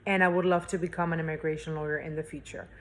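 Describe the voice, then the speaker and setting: Chatty young woman, lower voice. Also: little pitch movement, quick pace. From Spain. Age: young adult. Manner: chatty, many fillers and restarts. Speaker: guest. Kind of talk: casual interview chat.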